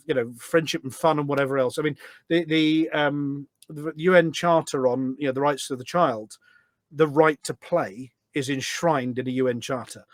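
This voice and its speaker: A man's voice: a little high, no room sound. A man talking enthusiastically in a podcast interview as a guest, explaining things step by step and often posing questions as he goes. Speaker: podcast guest, voice pack developer.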